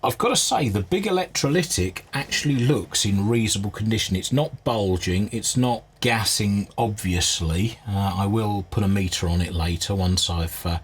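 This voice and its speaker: A man giving an unscripted, hands-on inspection commentary as a restorer, thinking aloud in a measured, understated way. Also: fairly low pitch, slight background noise. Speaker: vintage radio restorer presenting a repair video.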